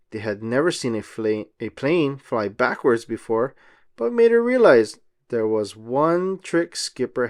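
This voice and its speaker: A man reading a children's storybook aloud as narrator, in an expressive style. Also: mid-range voice.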